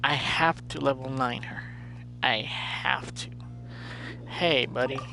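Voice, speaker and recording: A man's voice: medium pitch, fairly even intonation, slow, vocal fry, bright, forceful tone, soft background music, low-quality recording. A man doing casual live gameplay commentary as a streamer, muttering to himself and repeating his phrases. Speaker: game streamer.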